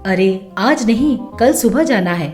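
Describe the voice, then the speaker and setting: A woman's voice: medium pitch, lively intonation, unhurried, darkish tone, close to an everyday voice. A woman voicing a bold, determined young city-bred wife in a storybook tale, direct and self-assured. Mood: curious. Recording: music bed under the voice.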